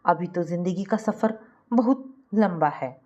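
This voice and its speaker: A woman's voice: on the low side, lively intonation, unhurried, dark tone, muffled recording. Woman narrating a family story. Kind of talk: scripted narration of a Hindi family moral story.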